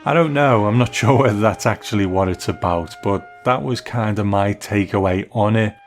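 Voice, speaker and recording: A British man with a low voice hosts a podcast over music. He comments casually, in a tentative, musing way. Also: moderate pace. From Britain. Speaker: podcast host.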